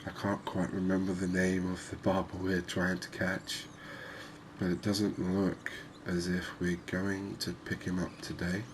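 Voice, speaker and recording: Low, even-toned man over background noise. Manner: unhurried, musing, conversational with tag questions. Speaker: gaming video host. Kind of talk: relaxed ASMR game commentary. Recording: clearly noisy background ambience.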